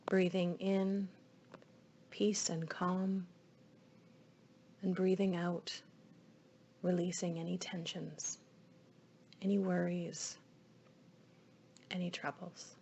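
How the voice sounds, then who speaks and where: Female meditation guide, soothing, hushed, breathy phrase endings, husky and creaky, unhurried delivery. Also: on the low side, level tone.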